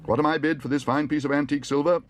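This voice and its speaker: A man voicing a loud, brisk male auctioneer who calls out bids in a raised, sing-song voice. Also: on the high side, steady tone, pitched above the performer's own voice, lightly acted. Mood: booming.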